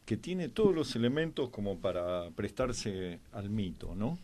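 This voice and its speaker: A man's voice: fairly low pitch, expressive, a little slow, a little mellow, slight background noise. A man with an Argentine accent giving reflective, explanatory historical analysis in a conversation. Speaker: history commentator.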